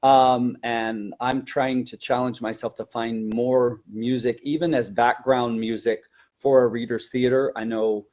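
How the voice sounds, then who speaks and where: Male teacher trainer, mid-pitched, conversational and explanatory, webinar delivery with demonstrated classroom instructions. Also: flat delivery, unhurried, darkish tone. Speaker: teacher trainer, elementary language teacher.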